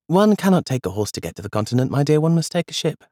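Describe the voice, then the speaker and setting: A man's voice: on the high side, very animated, fast, no echo, clean studio sound, voice pushed up. Man doing an old grandmother, lilting and fond, storybook. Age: older. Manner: gentle, amused. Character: kind, teasing grandmother, gently witty.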